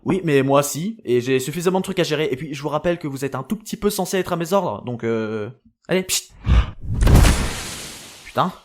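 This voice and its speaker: A man's voice: on the high side, lively intonation, brisk, pauses often, natural voice. A man playing a flustered, irritable young man in an audio drama, hesitant, stammering and colloquial. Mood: exasperated, irritated. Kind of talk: naturalistic audio drama acting.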